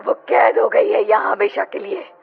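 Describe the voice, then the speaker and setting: A woman's voice: medium pitch, fairly even intonation, moderate pace, vocal fry, crowd noise. A woman voicing a vengeful, menacing female ghost bride in a dramatic horror cartoon style. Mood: gloating menace. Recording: muffled audio with loud music bed.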